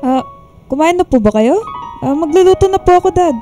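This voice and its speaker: A woman's voice: extremely high pitch, lively intonation, brisk, dark tone. A woman playing a sweet, playful young woman in love in a Tagalog radio drama. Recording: clear guitar music underneath.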